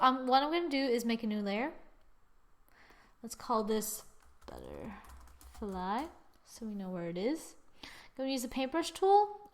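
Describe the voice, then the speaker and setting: A woman hosting a casual drawing software tutorial, thinking aloud informally and often murmuring as she works. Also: on the high side. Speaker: tutorial host. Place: screen-recording voice-over.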